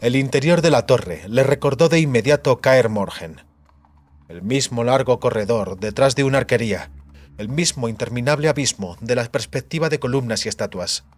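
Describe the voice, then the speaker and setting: A man reading a fantasy audiobook in a measured, dramatic storytelling manner. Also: mid-range voice, dry recording. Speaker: audiobook narrator. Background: background music.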